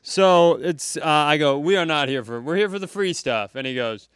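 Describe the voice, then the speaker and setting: A man's voice: moderate pace, clear, resonant tone, no echo, clean studio sound. Man ranting about a pumpkin patch. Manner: ranting, acts out people in high shouty voices. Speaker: podcast co-host. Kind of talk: comedic storytelling. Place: live comedy club stage.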